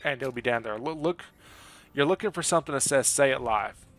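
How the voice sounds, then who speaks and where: A man's voice: mid-range voice, a little fast, a bit bright, soft background music. A man live-streaming a video game, chatting casually with his viewers in a rambling, joking, apologetic way. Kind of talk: casual chat with stream viewers while gaming. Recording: clearly audible background noise.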